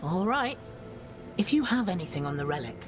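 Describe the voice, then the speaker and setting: A woman's voice: on the low side, sing-song, a little fast. A woman playing a dutiful, guarded templar officer in a video game, firm and terse with weary exasperation. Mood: businesslike. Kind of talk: video game cutscene acting. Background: music.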